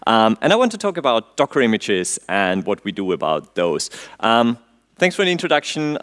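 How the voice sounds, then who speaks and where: A man's voice: lowish voice, normal speed. Austrian man giving a tech talk. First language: German. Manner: quizzes the audience, frequent "um" fillers, relaxed dry humor. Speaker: conference speaker. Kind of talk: technical conference talk. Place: conference stage before an audience.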